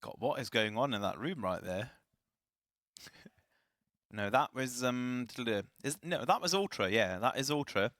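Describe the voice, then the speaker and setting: A man casting a live esports match, chatty and self-deprecating, his voice slightly raspy. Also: medium pitch, lively intonation, a little slow, long pauses, a bit bright, no echo, studio quality. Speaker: esports caster.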